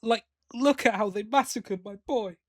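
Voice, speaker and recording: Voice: mid-range voice, moderate pace, no room sound, clean studio sound. A podcast host in casual review chat, opinionated and emphatic in complaint.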